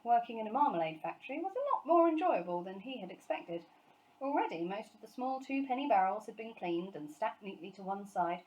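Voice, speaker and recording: A woman's voice: mid-range voice, darkish tone, low-quality recording. Woman reading a children's story aloud. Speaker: storybook reader, teacher.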